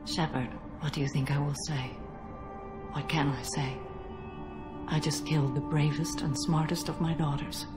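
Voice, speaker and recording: A woman's voice: deep voice, little pitch movement, normal speed, loud music behind the voice, lightly acted. An actress playing a stern, weary old alien warrior mother in a video game, speaking formally and solemnly. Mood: grieving, weary.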